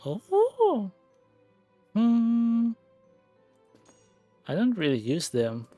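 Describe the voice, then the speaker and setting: A man's voice: very high-pitched, wildly swooping pitch, very slow, mellow, no room sound, faint music behind. A man chatting casually on a game livestream, musing aloud to himself as he plays. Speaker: game streamer. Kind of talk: casual livestream gameplay chat.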